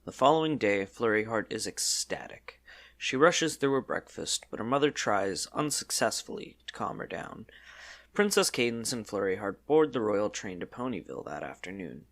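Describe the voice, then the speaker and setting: A man's voice: fairly low pitch, sing-song, moderate pace, a bit bright. A man narrating a scripted story in the third person, in a storybook style.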